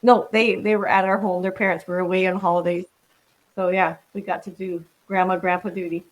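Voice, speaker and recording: Reflective woman in her sixties, low-pitched. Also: level tone, brisk, poor audio. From Netherlands. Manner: warm, reflective, with frequent ums and restarts. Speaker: guest. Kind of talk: casual interview conversation.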